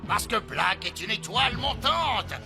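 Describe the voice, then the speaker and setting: Un comédien de doublage qui joue un magouilleur lâche, obséquieux et geignard de dessin animé, théâtral dans son jeu. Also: male voice, high-pitched, expressive, a little slow, over music. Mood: smug, scheming. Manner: theatrical, sneering then pleading. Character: cowardly scheming male match-fixer, sycophantic and whiny. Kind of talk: animated series dub acting.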